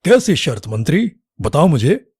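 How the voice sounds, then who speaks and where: A commanding, sly king from a children's cartoon fable, acted by a man. His voice is mellow and husky with a very lively swing, recorded dry and close. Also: on the high side, unhurried, dry recording, exaggerated voice. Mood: curious. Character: male king, approving yet slyly testing his minister. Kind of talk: animated children's moral story dialogue.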